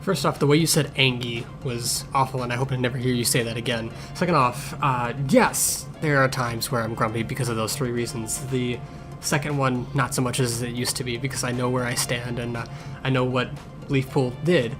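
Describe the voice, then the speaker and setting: A man's voice: mid-range voice, fairly even intonation, fairly quick, close to an everyday voice. A man voicing a grumpy, sarcastic blind medicine cat in a cartoon fan Q&A, with dry, blunt delivery. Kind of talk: improvised-sounding voice-acted fan Q&A. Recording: music playing behind the voice.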